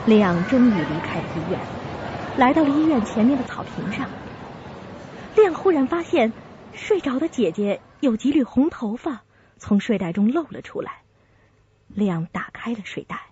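A woman's voice: a little high, sing-song, normal speed, mellow, a little hiss or hum. A woman reading scripted dramatic narration as the narrator of a story. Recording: dull muffled audio over music. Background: music.

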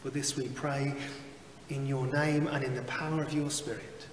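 A man in his thirties leading worship as a chaplain, reading psalms and prayers in a slow, measured liturgical way, recorded in a small chapel from a camera at a distance. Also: medium pitch, level tone, reverberant, in a large hall, a little hiss or hum. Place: small chapel with wood-panelled wall. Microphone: far camera, seated behind a lectern.

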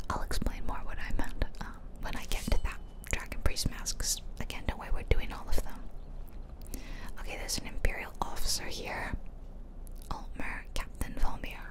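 Whispering woman, playful ASMR gamer. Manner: playful, chatty, self-amused. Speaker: game streamer and ASMR host. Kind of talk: whispered ASMR gameplay commentary. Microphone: close ear-to-ear microphone.

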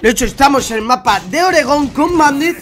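A man's voice: extremely high pitch, lively intonation, brisk, a bit bright. A young man from Spain with a Spanish accent, a bit stuffed up with a cold, chatting slangily to his viewers while gaming at home on a headset mic. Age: young adult. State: congested with a cold. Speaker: gaming YouTuber. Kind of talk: casual gameplay commentary. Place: home room at a gaming setup.

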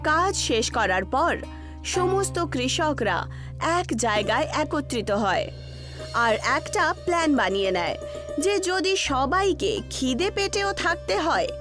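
Female narrator for animated folk tale: high, animated, expressive storytelling over music. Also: a little slow. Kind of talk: scripted storybook narration for a cartoon. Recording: music behind the voice. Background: background music.

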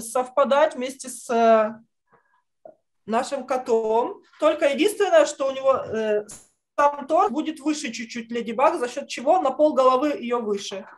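High-voiced woman teaching children to draw. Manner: encouraging, uses affectionate diminutives. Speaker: art teacher. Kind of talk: online drawing lesson for children. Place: indoor room, on a video call.

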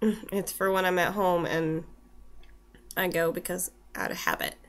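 A woman's voice: fairly low pitch, fairly even intonation, a little slow. A woman in her twenties chatting to her webcam in a small room, reading from a list with wry asides while a little snuffly from a cold. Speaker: vlogger. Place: small room indoors with shelves. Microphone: built-in webcam mic.

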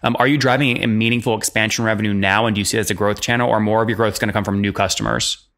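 A young man hosting an interview in a brisk, direct manner, asking probing business questions into a desk microphone. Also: lowish voice, fairly even intonation, a bit bright. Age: young adult. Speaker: podcast host interviewer. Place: small room with bookshelves, video call. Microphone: desk microphone with headset.

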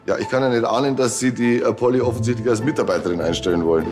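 An actor playing a casual, self-justifying tech geek in a TV crime comedy, a little hesitant. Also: male voice, medium pitch, very fast, over music, natural voice. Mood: defensive. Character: laid-back, evasive male tech geek helper.

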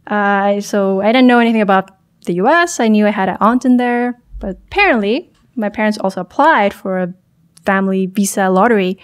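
A young woman from Chile talks as a podcast guest at a studio boom mic. Her voice sits at an ordinary pitch. She speaks thoughtfully, pausing and restarting as she searches for the right word. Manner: thoughtful, hesitant, many restarts and self-corrections. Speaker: startup founder guest. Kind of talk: podcast interview. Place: small studio room.

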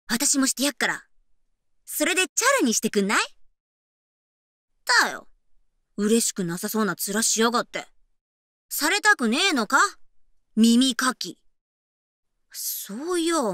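An actress playing a brash, teasing delinquent high school girl in an ASMR voice drama, with husky, breathy, rough tomboyish speech. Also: pushed and bright, no echo, studio quality, exaggerated voice. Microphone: close-up ASMR microphone.

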